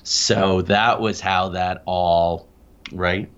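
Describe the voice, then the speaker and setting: Male guest on an interview show telling first-hand accounts, lowish voice, mildly dulled audio. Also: steady tone, extremely slow, a bit bright, clean tone, lo-fi sound. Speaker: interview guest, paranormal researcher. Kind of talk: recounting personal experiences in conversation.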